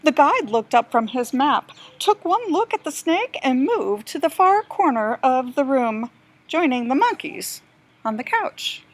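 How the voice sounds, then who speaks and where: A woman reading a children's storybook aloud like a teacher, with deliberate, expressive storytelling. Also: high-pitched.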